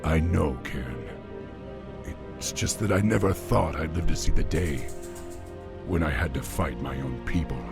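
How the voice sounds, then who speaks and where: An actor playing a noble young orc war chief in a fantasy game, burdened but resolute, solemn and commanding with a gravelly voice. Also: male voice, rumbling low, measured pace, heavily acted. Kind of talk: fantasy game dialogue acting. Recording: orchestral game music behind the voice.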